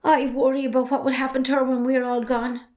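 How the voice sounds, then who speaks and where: A cheeky, outspoken young Irishwoman , acted by a woman, with a high voice. She is a farmer's daughter in drama dialogue, defiant and sardonic, holding her ground. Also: flat delivery, a little fast, darkish tone, lightly acted. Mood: frank.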